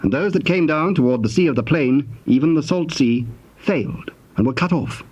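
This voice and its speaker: A man with a British accent narrating scripture in a solemn, formal, deliberate way. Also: fairly high pitch, expressive, darkish tone, breathy edge. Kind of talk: scripted dramatic Bible narration. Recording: dull, noisy old cassette tape.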